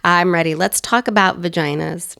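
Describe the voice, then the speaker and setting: A woman hosting a casual sex-education chat, hoarse and raspy from a cold, upbeat and playful. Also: fairly low pitch, normal speed, a bit bright, no room sound, clean studio sound. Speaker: host.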